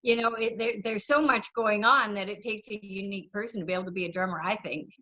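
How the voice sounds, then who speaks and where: Co-host, woman, bright and eager, muffled call recording. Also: medium pitch, fast. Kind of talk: show introduction and chat. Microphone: video call. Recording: dull lo-fi video call sound.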